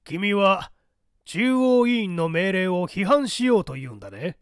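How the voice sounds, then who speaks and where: A man's voice: sits high, very animated, a little slow, clean tone, no echo, studio quality. A man voicing a rigid, zealous party loyalist in an audiobook drama, with an emphatic, declamatory delivery. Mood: measured. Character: rigid, zealous male party loyalist preaching iron discipline. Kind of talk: dramatic audiobook character dialogue.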